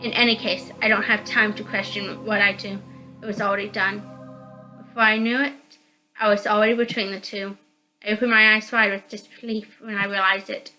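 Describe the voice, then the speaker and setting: A woman's voice: medium pitch, little pitch movement, a little fast, sharp tone, rough recording. A woman reading a visual novel aloud as narrator, in dramatic scripted narration. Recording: music under the voice.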